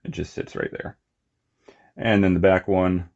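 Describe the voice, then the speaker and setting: A man's voice: low-pitched, fairly even intonation, a little slow, creaky, a little mellow, muffled recording. A man giving a casual, unscripted hands-on demonstration as a hobby reviewer, with informal muttered asides. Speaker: toy collector and reviewer.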